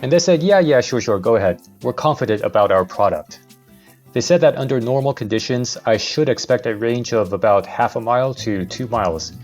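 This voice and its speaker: A man's voice: mid-range voice, expressive, fairly quick, a little mellow, soft background music. A young man hosting a vlog, narrating a casual product review.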